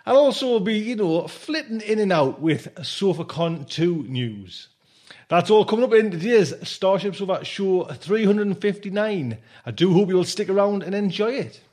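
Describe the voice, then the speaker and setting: High-pitched, animated male podcast host. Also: moderate pace. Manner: chatty, enthusiastic. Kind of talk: informal podcast introduction.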